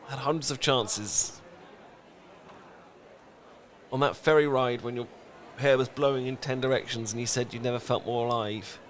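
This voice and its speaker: A British man acting a flustered, emotionally repressed and lovesick best friend in a comedic romantic audio roleplay, stammering and pleading in halting phrases. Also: medium pitch, steady tone, slight background noise, lightly acted. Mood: tender, confessional.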